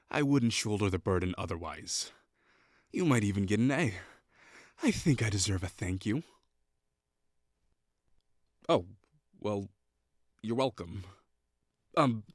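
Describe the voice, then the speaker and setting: A guy doing a snooty young classmate, low voice that swings up and down a lot, bossy and sarcastic, dry close sound. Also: dry recording. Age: young adult. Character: prickly perfectionist young male classmate, condescending. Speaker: voice actor in an audio roleplay. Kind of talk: scripted roleplay acting.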